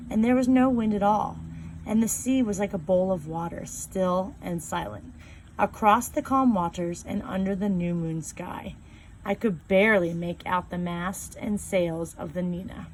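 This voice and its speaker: A woman reading a first-person novel aloud in a calm, measured storytelling voice, slowing down on key phrases. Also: mid-range voice, a little hiss or hum, low-quality recording. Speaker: reader.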